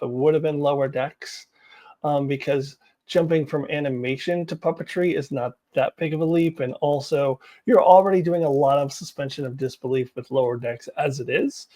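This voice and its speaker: A woman's voice: low voice, dark tone. A woman giving casual, opinionated commentary as a vlog host, with a wry, blunt, exasperated and giggly manner.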